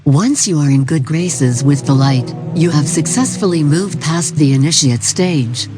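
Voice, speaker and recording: A performer playing a calm, formal cult recruitment narrator, reading a scripted instructional tape in a measured, solemn way. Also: mid-range voice, lightly acted. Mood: serene, ominous. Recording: 1990s tape-style narration over music. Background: music.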